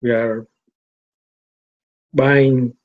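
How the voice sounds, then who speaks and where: A middle-aged man from Tanzania giving a reflective talk to a fellowship, measured and repetitive. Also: mid-range voice, flat delivery. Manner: slow, measured, repeats phrases. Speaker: fellowship speaker. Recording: dull lo-fi recording.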